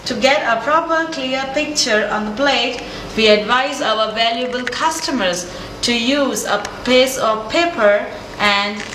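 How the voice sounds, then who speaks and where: A woman in her thirties giving a deliberate, instructional scripted product demonstration in a showroom, picked up by a distant camera mic. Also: a little high, fairly bright tone. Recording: echoey, distant camera mic, noisy room.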